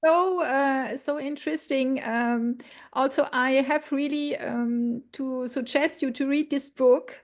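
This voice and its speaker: A woman moderating a webinar, speaking haltingly with many fillers. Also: sits high, fairly even intonation.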